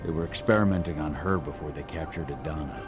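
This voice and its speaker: Game hero: determined werewolf warrior, deep, earnest and grim, music underneath. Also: male voice, fast. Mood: somber. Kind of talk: scripted video game acting.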